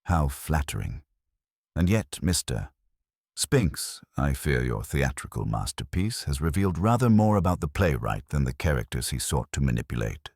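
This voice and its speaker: A man voicing a mild, courteous police inspector with a measured, conversational, quietly ironic manner in a mystery drama. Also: a bass voice, sing-song, vocal fry, dry recording, studio quality, heavily acted. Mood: mild irony.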